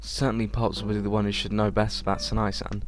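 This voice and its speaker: Male let's play host: low voice, halting script reading, game music underneath. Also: flat delivery.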